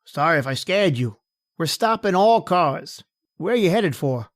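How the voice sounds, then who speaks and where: A man's voice: fairly high pitch, expressive, moderate pace, voice pushed up, natural voice. A man playing a stern, suspicious state trooper in an old-time radio drama, clipped and authoritative.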